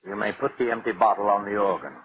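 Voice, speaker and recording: A man's voice: lowish voice, steady tone, quick pace, close to an everyday voice. An actor playing an urbane, sardonic husband who mocks his wife in genteel, theatrical tones, in 1940s radio drama style. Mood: mocking, triumphant. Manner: theatrical, mocking, genteel exclamations. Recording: dull, hissy 1940s radio recording.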